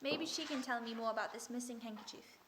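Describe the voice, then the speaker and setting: A woman playing a sharp-witted female detective in an audio drama, questioning people with dry, ironic politeness. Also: a little high, fast talker, background noise, lightly acted. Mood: probing. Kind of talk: vintage-style radio drama acting.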